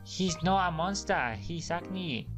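An actor voicing a lustful ancient warrior woman in a cartoon, with drawn-out, admiring delivery. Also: medium pitch, animated, a bit bright, soft background music.

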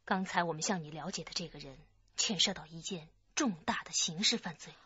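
A woman's voice: lowish voice, fairly quick, bright, forceful tone, muffled recording. An actress playing a firm, probing female police detective in a radio drama. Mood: stern, probing.

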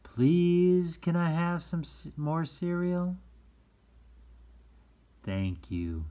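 A man who teaches, reading a children's book aloud and explaining it gently, acting out phrases expressively. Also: fairly high pitch, very animated, extremely slow. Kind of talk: children's read-aloud with commentary.

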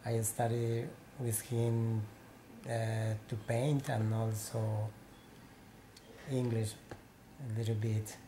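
A man in his forties from Peru, with a Spanish accent, telling his story in halting English full of pauses and restarts. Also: medium pitch, level tone, mellow, slight background noise. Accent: Peruvian Spanish. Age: 40s. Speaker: interviewee, painter. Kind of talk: casual interview. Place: small room with painted walls, indoors.

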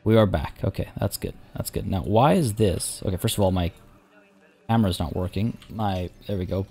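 Young male let's-play host, hoarse, mellow low voice, rambling commentary. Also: moderate pace. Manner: rambling, chatty, many restarts and fillers. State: hoarse, losing his voice. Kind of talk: casual gameplay commentary.

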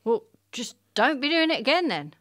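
A woman's voice: high voice, sing-song, normal speed, fairly bright tone. A woman acting a cheeky, argumentative city woman roused from sleep in a comic audio play, colloquial, pedantic and needling. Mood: grumpy, challenging.

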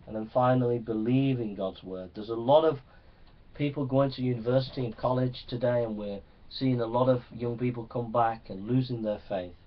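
Man preaching, distant dull recording. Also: medium pitch. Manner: earnest, exhorting, often seeking assent. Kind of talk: Bible teaching sermon to young people. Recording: distant microphone, slightly dull sound.

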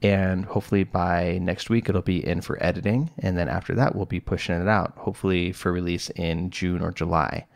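A man's voice: low-pitched, fairly even intonation, brisk, gravelly, hardly any pauses. A middle-aged man hosting a solo podcast, talking casually and rambling with lots of fillers and restarts. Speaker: podcast host, psychologist.

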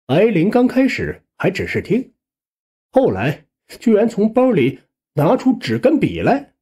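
Expressive male audiobook narrator with swooping pitch. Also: unhurried, slightly rough. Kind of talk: scripted first-person story narration.

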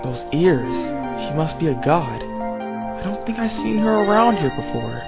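A man's voice: a little high, sing-song, a little slow, gravelly, heavily acted. A man voicing an easygoing, clueless, mild-mannered teenage schoolboy in an anime fan dub. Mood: mild, thoughtful. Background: background music.

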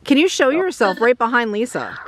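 Animated woman, casual outdoor vlogger. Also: fairly high pitch, fairly quick, dry recording. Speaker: host of a ghost hunting vlog. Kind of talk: casual spoken commentary while ghost hunting outdoors. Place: outdoors in an open rural cemetery.

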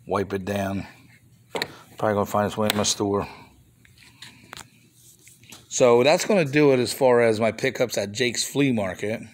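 A man with a somewhat low voice talks casually over his phone camera while he shows off toys on a table. He rambles, grumbles a little now and then, and keeps an easy show-and-tell tone. A faint hiss sits under the recording. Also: normal speed, pauses often. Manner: chatty, rambling, mild grumbling asides. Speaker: toy reseller showing flea market finds. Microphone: handheld phone camera.

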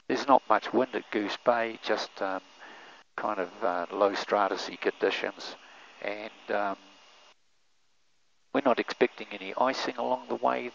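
An older man, a pilot giving relaxed cockpit commentary through a headset mic, with many fillers. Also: fairly low pitch, gravelly, slight background noise. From New Zealand. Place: small aircraft cockpit in flight. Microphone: aviation headset boom mic. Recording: muffled intercom audio with engine drone.

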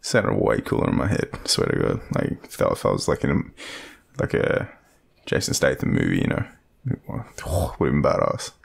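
A man's voice: rumbling low, very animated, moderate pace, vocal fry, breathy edge, heavily acted. An Australian man playing an awkward, joking young guy with a secret crush, rambling and hesitant in an intimate, casual audio roleplay. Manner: rambling, hesitant, self-deprecating, swearing.

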